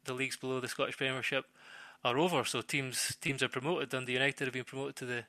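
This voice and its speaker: A man giving off-the-cuff football analysis as a pundit, haltingly, searching for words and repeating phrases. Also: mid-range voice, flat delivery, sharp tone, no echo.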